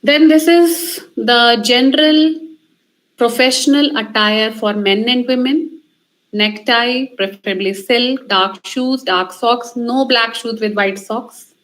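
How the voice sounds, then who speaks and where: A woman's voice: a little high, slow pace, fairly bright tone, rough recording. A woman with an Indian accent giving an instructional talk as a trainer, emphatic, repeating her points in short directives. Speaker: communication trainer giving a lecture. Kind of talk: instructional talk on interview skills.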